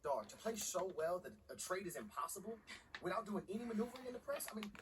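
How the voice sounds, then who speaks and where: A man's voice: high-pitched, big pitch swings, fast talker. A male actor playing a pushy, scheming sports agent in a video game cutscene, persuading in emphatic slang. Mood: scheming, insistent. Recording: noisy, distant game capture.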